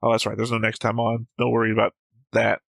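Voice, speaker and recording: Gravelly, bright-toned male reactor. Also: on the low side, completely flat delivery, fast, dry recording, clean studio sound. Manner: explains at length, self-correcting, musing. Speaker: reaction show host. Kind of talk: casual reaction discussion.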